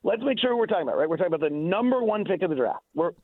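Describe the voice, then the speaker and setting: A man's voice: sits high, very animated, rapid-fire. An American man doing unscripted sports analysis on radio, insistent and emphatic, often repeating himself, over a thin phone line. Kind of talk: unscripted sports debate and commentary.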